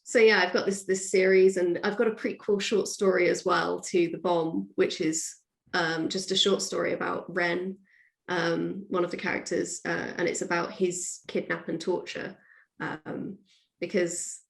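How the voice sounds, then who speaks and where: A woman's voice: fairly low pitch, flat delivery, measured pace, creaky. A woman author being interviewed, chatting casually and thinking aloud in a discursive way. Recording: roomy, echoey sound.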